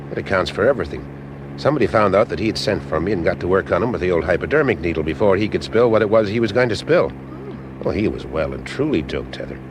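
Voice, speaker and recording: An actor playing a wry, observant private eye in a radio mystery, with a husky voice and an informal way of talking. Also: male voice, low voice, noisy background. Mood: brisk, deducing. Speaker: lead actor and narrator in a detective audio drama. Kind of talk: first-person detective narration and dialogue.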